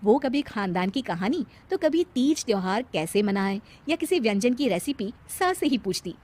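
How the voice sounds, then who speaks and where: A woman with an Indian accent narrating a cartoon tale as expressive scripted storytelling. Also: fairly high pitch, moderate pace.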